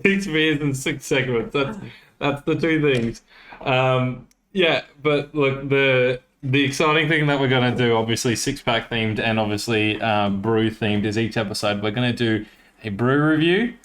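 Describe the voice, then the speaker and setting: Podcast co-host: young man, Australian accent, medium pitch, loose conversational delivery that wanders and doubles back. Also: unhurried, a bit bright. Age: young adult. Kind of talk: casual chat.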